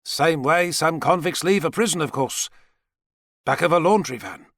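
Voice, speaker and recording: A man's voice: on the high side, animated, normal speed, a bit bright, dry recording, clean studio sound, voice pushed up. A man voicing a brash, megalomaniac president with a boastful, folksy manner in an audiobook thriller. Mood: smug. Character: American president, brash, heavy-set, megalomaniac schemer.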